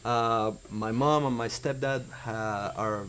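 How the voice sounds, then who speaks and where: A young man with an Argentine accent, a winemaker answering interview questions in a measured way, with fillers and restarts. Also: medium pitch, fairly bright tone, a little hiss or hum. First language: Spanish. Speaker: winemaker guest.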